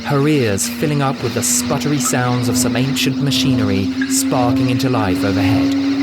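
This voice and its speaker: A man's voice: mid-range voice, heavy background noise. A man reading scripted fiction as a dramatic audiobook narrator. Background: music.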